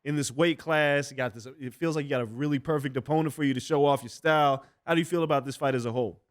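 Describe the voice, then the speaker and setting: A man's voice: mid-range voice, fast. A man with an American accent co-hosting a sports podcast, casual, conversational and opinionated. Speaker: podcast co-host. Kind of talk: casual sports commentary and interviewing.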